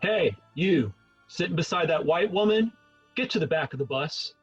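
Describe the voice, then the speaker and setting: A man's voice: a little high, expressive, moderate pace, breathy edge, lo-fi sound, faint music behind. A man playing an angry, bigoted bus driver who barks orders in a screenplay table read. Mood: angry, commanding. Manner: confrontational, barking.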